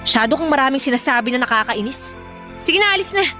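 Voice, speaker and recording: Brash, high-voiced Filipino older sister. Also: female voice, lively intonation, fast, soft background music. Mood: dismissive, annoyed. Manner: dismissive, sharp-tongued, taunting. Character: brash, shameless older sister, bar worker and mother. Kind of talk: Filipino radio drama acting.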